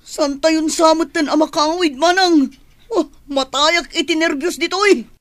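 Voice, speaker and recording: High-voiced, anxious woman in war drama acted. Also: unhurried, a bit bright, no echo. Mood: panicked. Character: frightened, exhausted woman migrant worker fleeing war. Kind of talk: Ilocano radio drama acting.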